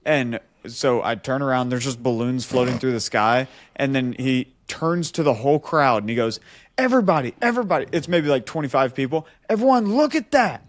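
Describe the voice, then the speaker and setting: A man's voice: medium pitch, steady tone, normal speed, hardly any pauses, dry recording. A man co-hosting a podcast, telling a story casually and animatedly, acting out the dialogue as he goes. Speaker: podcast co-host.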